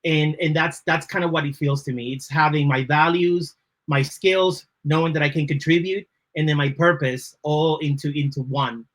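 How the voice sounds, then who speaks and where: Dominican-accented man, fairly high voice. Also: steady tone, normal speed, a bit bright. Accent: Dominican Spanish. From Dominican Republic. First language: Spanish. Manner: thoughtful, often checks in with "right?". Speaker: podcast guest, creative leader. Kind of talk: reflective interview answers.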